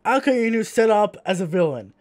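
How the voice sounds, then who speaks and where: A man's voice: high-pitched, animated, moderate pace, a little mellow, dry recording. A man with an Australian accent, co-hosting a podcast in casual chat, blunt and swearing freely as he rants. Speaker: podcast co-host.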